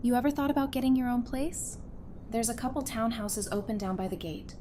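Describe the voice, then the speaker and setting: A woman's voice: fairly high pitch, a little fast, warm tone, slight background noise, close to an everyday voice. Woman acting a playful, teasing young survivor. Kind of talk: fan audio drama acting.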